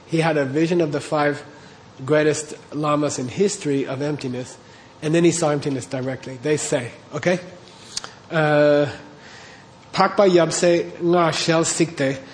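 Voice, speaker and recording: Lecturing man with a higher voice. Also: slight background noise. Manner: explains terms step by step, checks in with "okay?". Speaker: teacher. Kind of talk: classroom lecture on Tibetan texts.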